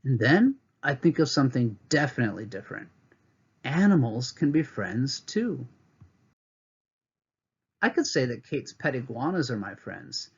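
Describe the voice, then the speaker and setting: A man's voice: medium pitch, husky, lo-fi sound. A man teaching a read-aloud lesson to children, reading a picture book and adding teacherly asides from home.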